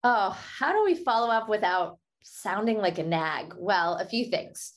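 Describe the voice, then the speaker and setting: A woman speaking as an interview guest and author, running through practical tips in a conversational way. She is upbeat and brisk and often slips a laugh into her points. Her pitch sits in the middle range for a woman. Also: animated. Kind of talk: conversational expert advice.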